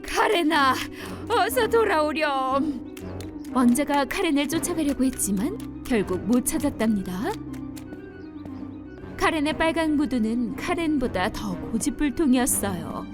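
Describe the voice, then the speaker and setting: A woman's voice: sits high, animated, normal speed. A woman narrating a dubbed children's fairy-tale cartoon in a storytelling manner, with music behind her.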